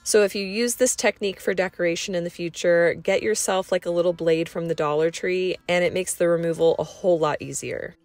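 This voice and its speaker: A woman's voice: on the low side, steady tone, a little fast, few pauses, a little mellow. A woman giving a casual voice-over as a vlog host, explaining cleaning tasks in a chatty way. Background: background music.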